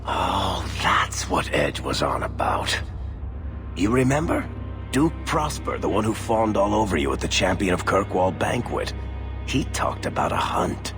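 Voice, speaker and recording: A man's voice: sits low, sing-song, unhurried, over music. A man voicing a wry, talkative storyteller rogue in a fantasy video game, sardonic and theatrical. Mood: sheepish banter. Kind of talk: video game character dialogue.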